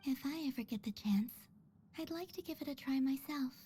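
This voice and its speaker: Soft-toned, high, polite game heroine played by a woman. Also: a little fast, a little hiss or hum, lightly acted. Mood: gentle, curious. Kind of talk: video game voice acting.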